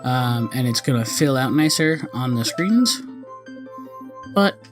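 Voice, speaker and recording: A gamer chats casually through a let's play in a mid-pitched voice. The delivery rambles and doubles back to fix itself. Video game music runs behind the talk. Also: lively intonation, slow pace. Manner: rambling, self-correcting. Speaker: gaming video host. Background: video game cutscene music.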